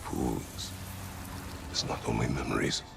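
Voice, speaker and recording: A man playing a grim, weary warrior in a video game, gravelly and speaking in terse, halting, solemn phrases. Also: a bass voice, little pitch movement, background noise, exaggerated voice. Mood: brooding, grave. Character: grim, weary, battle-hardened male warrior seeking understanding. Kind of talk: dramatic video game acting.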